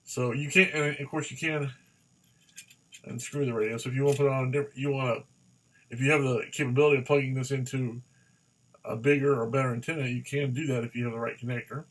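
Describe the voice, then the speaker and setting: A man reviewing a product in a casual, hands-on way, halting and rambling as he goes and often repeating himself. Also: medium pitch, fairly even intonation, a bit bright, low-quality recording.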